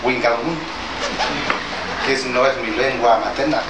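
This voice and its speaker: Halting man, far off in an echoey hall. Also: mid-range voice, little pitch movement. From southern Chile. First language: Mapudungun. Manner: halting, hesitant, plain-spoken with many restarts. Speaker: traditional healer addressing a gathering. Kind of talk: informal public address. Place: indoor meeting hall with an audience. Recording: roomy, distant and noisy.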